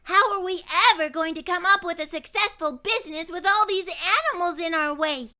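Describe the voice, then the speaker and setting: A woman's voice: very high-pitched, normal speed, sharp tone, voice pushed up. A woman voicing an earnest, easily frustrated little girl with big plans in a children's storybook. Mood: despairing. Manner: huffy, earnest, dramatic. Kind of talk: storybook character voice.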